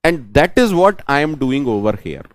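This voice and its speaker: A man's voice: a little high, very animated, normal speed, no echo. A man with an Indian English accent, a native Hindi speaker, giving an online programming lecture, repeating his phrases and answering questions on the students' behalf. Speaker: programming teacher.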